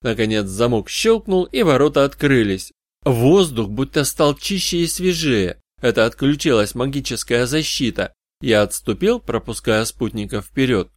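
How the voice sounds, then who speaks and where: A man's voice: mid-range voice, animated, moderate pace, no room sound. Male audiobook narrator, dry close recording. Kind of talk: scripted first-person detective fantasy narration.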